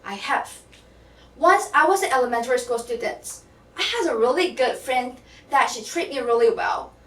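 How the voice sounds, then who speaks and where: A teenage girl gives a confessional contest speech, pausing with ums and uhs as she tells it. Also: sits high, animated, fairly bright tone. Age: teens. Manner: confessional, with fillers like um and uh. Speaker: student contestant. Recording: echoey hall, mic at a distance.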